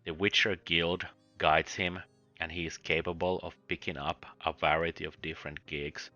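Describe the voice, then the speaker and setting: Deep-voiced man, wry book reviewer. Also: level tone, normal speed, sharp tone, dry recording, lo-fi sound, faint music behind. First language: Finnish. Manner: wry, joking, with frequent chuckles. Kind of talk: scripted book review narration.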